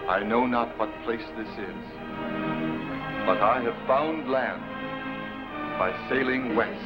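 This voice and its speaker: A man's voice: mid-range voice, takes it slowly, lightly acted. A man playing a grave, noble explorer admiral in a vintage historical drama, declaiming with solemn theatricality. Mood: solemn triumph. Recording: muffled vintage soundtrack, loud music.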